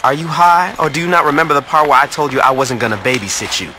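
Sharp, forceful brash gang boss. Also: male voice, a little high, quick pace, slight background noise. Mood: wry. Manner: blunt, sardonic, profane. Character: brash, foul-mouthed male gang boss, impatient and commanding. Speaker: video game character. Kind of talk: video game cutscene acting.

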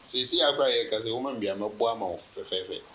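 A Ghanaian man in his thirties, a business guest in a relaxed sit-down interview, telling his story with laughs and anecdotes. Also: lowish voice, quick pace, crowd noise. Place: indoor room, seated on sofa.

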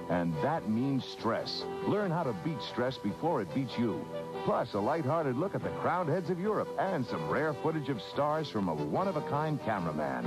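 A man's voice: medium pitch, low-quality recording. A man announcing a scripted 1980s television promo.

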